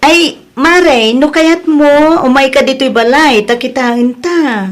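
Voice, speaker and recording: A woman with an Ilocano accent playing an exasperated, defensive village hairdresser in an audio drama, with expressive exclamations. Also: sits high, slow. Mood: friendly. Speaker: drama voice actor.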